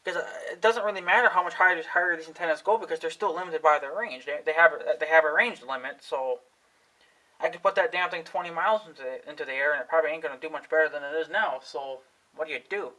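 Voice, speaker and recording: A man's voice: on the high side, fast talker, pushed and bright, poor audio. A young man, thinking aloud in a casual vlog, unsure of himself and swearing freely. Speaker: vlogger. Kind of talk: rambling casual talk to camera.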